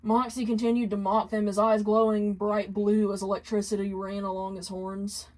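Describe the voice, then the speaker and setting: A woman reads a story aloud as its narrator. Her voice sits at a middle pitch, and she delivers written fan fiction prose. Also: level tone, normal speed, darkish tone. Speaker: story reader.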